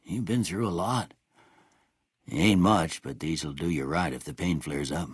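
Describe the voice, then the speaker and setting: An actor playing a kindly, world-weary old small-town doctor in a video game, with a husky voice and a folksy, rustic American drawl. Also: male voice, a bass voice, measured pace, no room sound, studio quality, exaggerated voice. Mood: calm, matter-of-fact. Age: older. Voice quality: husky, gravelly. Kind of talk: video game character dialogue.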